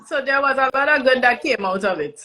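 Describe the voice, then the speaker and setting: A woman hosting a casual live interview, reacting in a lively, encouraging way. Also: high-pitched, brisk. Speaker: interview host.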